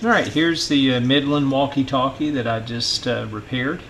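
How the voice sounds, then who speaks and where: A middle-aged man giving an informal hands-on repair walkthrough, halting and unhurried as he thinks aloud. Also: mid-range voice, noisy background. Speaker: host of a radio repair channel. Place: indoor electronics workbench.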